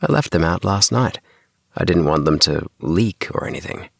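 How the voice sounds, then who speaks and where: A man's voice: deep voice, lively intonation, normal speed, gravelly, a bit bright, no room sound, exaggerated voice. A man voicing an earnest, defensive child inventor with an insistent way of talking, in a children's audiobook. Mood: matter-of-fact. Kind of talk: children's audiobook character dialogue.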